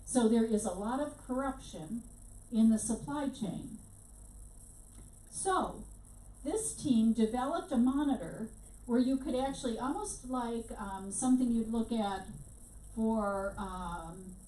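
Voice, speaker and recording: A woman's voice: a little high, mellow, a little hiss or hum. A woman teaching a workshop, talking conversationally with plenty of fillers and restarts. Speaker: workshop instructor. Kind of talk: informal workshop lecture. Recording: echoey room, mic at a distance.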